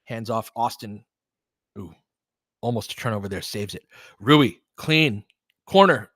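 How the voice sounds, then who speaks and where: Bright-voiced man calling basketball like a fan. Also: on the low side, lively intonation, a little slow, no room sound, clean studio sound. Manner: partisan fan calling plays in short clipped phrases, explaining conversationally. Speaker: host and play-by-play commentator. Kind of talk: live audio basketball commentary with casual tactical analysis.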